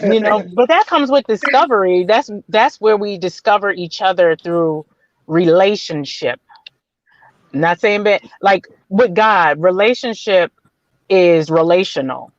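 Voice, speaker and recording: A woman's voice: medium pitch, unhurried, no echo. Woman panelist; affirming, preacherly; dry lo-fi call sound. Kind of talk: casual panel discussion. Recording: dry, dull lo-fi audio.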